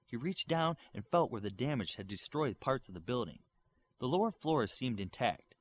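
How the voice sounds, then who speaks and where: A man reading a superhero novel aloud as its narrator, with an expressive delivery. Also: mid-range voice, moderate pace, slightly rough. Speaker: audiobook reader. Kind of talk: audiobook narration.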